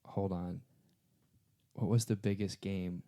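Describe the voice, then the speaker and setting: A man in his thirties with a fairly low voice co-hosts a podcast. His talk is casual and unpolished, with some swearing. He sounds like someone bantering with a friend over a guessing game. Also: steady tone, takes it slowly, dark tone. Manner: informal, sweary. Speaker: podcast co-host.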